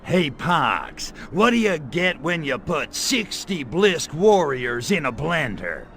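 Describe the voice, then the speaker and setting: Slow, swaggering alien wise guy. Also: fairly high pitch, big pitch swings, fairly bright tone, faint music behind, exaggerated voice. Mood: joking. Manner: sardonic, swaggering, flippant. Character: cocky, wisecracking, tough-guy male alien invader. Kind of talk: comedic video game acting.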